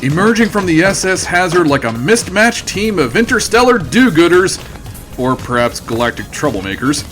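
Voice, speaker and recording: A man's voice: high voice, sing-song, normal speed, fairly bright tone, faint music behind. A man playing a wry storyteller, delivering dramatic comic narration for a sci-fi audio adventure.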